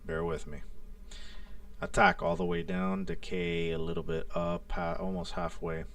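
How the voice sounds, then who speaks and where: A man's voice: sits low, monotone, unhurried, recorded from a distance, over music. A man hosting a casual live demo, thinking aloud and muttering to himself in short fragments as he works. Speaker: live stream host demonstrating a synthesizer.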